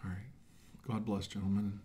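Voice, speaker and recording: Male, middle-aged, lowish voice: man acting as earnest scientist-commander briefing his squad in amateur sci-fi role-play, halting delivery, noisy track. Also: level tone, mellow, lightly acted. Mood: earnest, commanding.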